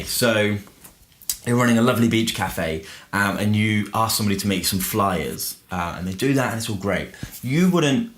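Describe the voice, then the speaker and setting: A man giving an informal review in an explanatory way, using everyday examples and questions. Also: fairly low pitch. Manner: explanatory, uses everyday analogies and rhetorical questions. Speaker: book reviewer and software business owner. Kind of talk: informal book review to camera.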